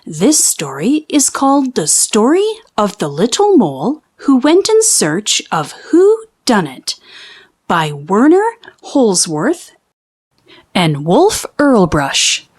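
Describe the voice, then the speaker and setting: Very animated, slow-paced woman reading a storybook. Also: mid-range voice, slightly rough. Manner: theatrical, deliberate storytelling with drawn-out sound words. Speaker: children's book read-aloud host. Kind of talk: expressive children's storybook narration.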